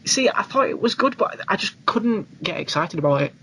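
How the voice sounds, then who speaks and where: A man's voice: sits high, lively intonation, measured pace, pushed and bright. A man co-hosting a podcast, chatting casually and with informal, opinionated enthusiasm about films. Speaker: podcast co-host. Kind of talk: casual film discussion. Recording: clearly noisy recording.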